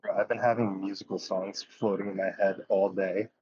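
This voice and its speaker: Laid-back low guy on voice chat, husky, muffled lo-fi audio. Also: little pitch movement, fairly quick, darkish tone, no echo. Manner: laid-back, says "bro". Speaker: streamer's friend on voice call. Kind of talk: casual chat.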